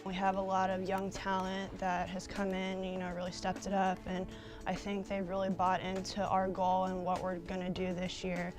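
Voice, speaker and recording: A woman softball player confidently answering interview questions. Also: mid-range voice, moderate pace, over music. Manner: flat, confident.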